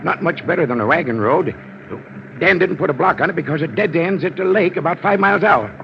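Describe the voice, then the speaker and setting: A man's voice: on the high side, animated, brisk, creaky, a little hiss or hum. An actor playing a worried, agitated small-town father searching for his daughter in a 1950s radio drama, hesitant as he talks. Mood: anxious, thinking aloud. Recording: muffled old radio recording.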